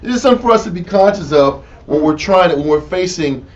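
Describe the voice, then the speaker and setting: A man's voice: high voice, quick pace. A man leading a casual Bible study, talking in slang and acting out the scenes. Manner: animated, slangy, acts out scenes. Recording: distant lo-fi room recording with faint noise.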